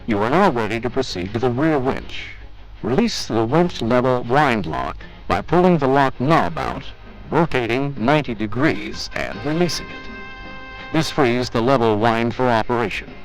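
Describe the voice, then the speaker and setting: A man's voice: mid-range voice, very animated, normal speed, rough recording. Male military training film narrator, music behind. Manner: formal, methodical step-by-step delivery. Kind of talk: scripted instructional narration.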